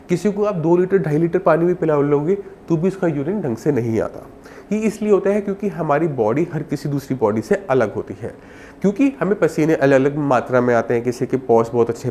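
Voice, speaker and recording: Casting: male doctor-presenter, mid-pitched voice thickened by a cold, instructive delivery, some noise behind. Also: darkish tone. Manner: instructive, addresses viewer directly with simple examples. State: sore throat and a cold. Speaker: doctor presenting health advice. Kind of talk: explanatory health advice to camera.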